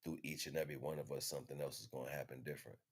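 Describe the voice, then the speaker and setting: A man's voice: a bass voice, steady tone, very fast, slightly rough. A man giving a casual motivational wellness talk in an emphatic, slangy, streetwise way. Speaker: host.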